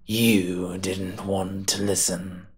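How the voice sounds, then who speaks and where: Man acting a slow, cocky, menacing monster detective. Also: deep voice, level tone. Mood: taunting, menacing. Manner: taunting, drawn-out threats with breathy drops. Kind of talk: roleplay audio drama acting.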